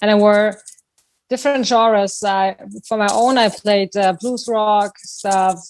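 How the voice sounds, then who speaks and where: A woman's voice: medium pitch, fairly even intonation, takes it slowly, darkish tone. A woman, talking casually as a singer being interviewed. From Germany. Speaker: band singer, interview guest.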